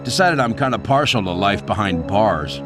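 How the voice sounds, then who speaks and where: Lowish, wisecracking American captain, played by a man. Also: animated, normal speed, natural voice. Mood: sardonic. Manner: sardonic, laid-back. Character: wisecracking, cocky American captain, prisoner of war. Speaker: captured pilot. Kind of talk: video game cutscene acting. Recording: loud music behind the voice.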